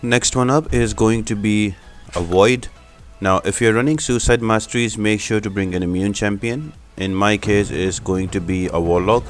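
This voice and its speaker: Low-pitched man narrating a game guide. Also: moderate pace. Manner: explanatory, step-by-step instruction. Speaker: gaming channel host. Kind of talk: video game guide commentary. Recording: music behind the voice. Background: music.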